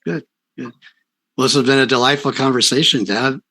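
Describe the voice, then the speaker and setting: A man's voice: medium pitch, steady tone, brisk, sharp tone. A man hosting a conversational podcast, affirming his guest and explaining points to listeners. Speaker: podcast host. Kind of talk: conversational interviewing and wrap-up commentary.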